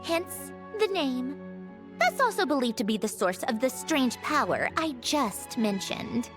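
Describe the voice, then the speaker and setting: Battered female android scientist for a video game: high, expressive, dry and sardonic, music behind. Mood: dry, explanatory. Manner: deliberate, dry, clinical phrasing with halting pauses. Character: weary, sardonic female mechanical puppet scientist, badly damaged. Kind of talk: dramatic video game voice acting.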